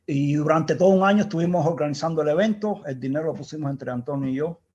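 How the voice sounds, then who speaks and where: A man's voice: fairly high pitch, fast, darkish tone. A man with a Cuban accent, an engineer guest in an interview, recalling the past in a reflective, rambling way with restarts. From Cuba. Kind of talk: casual storytelling interview. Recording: dull lo-fi sound.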